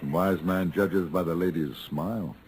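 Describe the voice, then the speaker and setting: An actor playing a cool, cultured gunfighter who speaks formally and precisely in an old-time radio Western. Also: male voice, low voice, normal speed, darkish tone, natural voice. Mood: gently courteous. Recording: noisy vintage radio recording.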